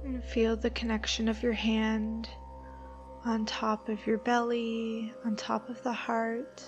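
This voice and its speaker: A woman leading a guided meditation, giving soothing, unhurried instructions with long pauses between phrases. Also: on the high side, monotone, highs cut off. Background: background music.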